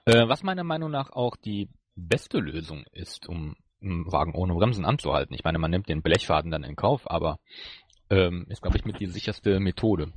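A man co-hosting a podcast, casually reviewing an episode in a halting way as he searches for words. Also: low voice, expressive, husky, dry recording. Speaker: podcast co-host.

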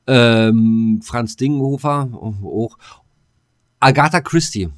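A man's voice: mid-range voice, takes it slowly, dry recording, clean studio sound. A man co-hosting a podcast, chatting casually in a colloquial, jokey way with some crude slang. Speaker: podcast co-host.

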